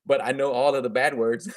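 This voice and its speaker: A man's voice: medium pitch, no echo. A man in his thirties, a native Vietnamese speaker with a slight accent, hosting a casual live stream from a room at home into a webcam and answering viewer comments in an upbeat, chatty way with frequent restarts. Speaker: gardening channel host.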